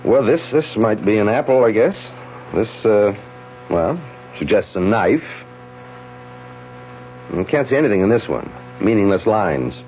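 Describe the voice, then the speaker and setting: Low-voiced courteous surgeon in radio drama. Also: male voice, big pitch swings. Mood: pondering. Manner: polite, measured, explanatory. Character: courteous, earnest surgeon-researcher, concerned family friend. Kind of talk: vintage radio drama acting. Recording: dull radio recording with hum and hiss.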